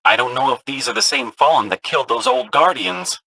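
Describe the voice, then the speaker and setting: An actor in a video game telling spooky lore in an animated, theatrical manner. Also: male voice, mid-range voice, a little fast, vocal fry, no echo, full character voice. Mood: spooky storytelling.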